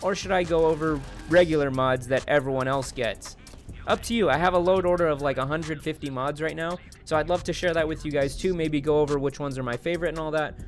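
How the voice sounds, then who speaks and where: A man's voice: fairly high pitch, brisk. A man hosting a casual game review as commentary, talking in a colloquial, jokey and opinionated way. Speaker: gaming video host reviewing a mod. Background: music.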